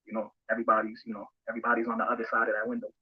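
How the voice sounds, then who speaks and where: Lo-fi recording, man, husky, fast, hesitant, candid interviewee. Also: medium pitch, completely flat delivery. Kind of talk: candid interview answers about himself. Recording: dull lo-fi played-back audio.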